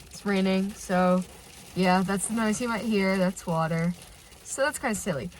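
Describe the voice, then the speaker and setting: Female vlogger, stuffy nasal cold voice, excitable fan chatter, mid pitch, recorded in a car. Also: moderate pace, a little hiss or hum, poor audio. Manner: gushing and excitable, many filler "like"s. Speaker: channel host reacting to fan fiction. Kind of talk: casual reaction vlog. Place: inside a parked car.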